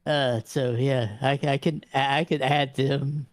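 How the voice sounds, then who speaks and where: A man's voice: medium pitch, steady tone, measured pace, no room sound, close to an everyday voice. A man voicing a courtly, pious vampire in a tabletop game, prudish around ladies, with hesitant, stammering, old-fashioned politeness. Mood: obliging. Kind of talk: improvised tabletop roleplay.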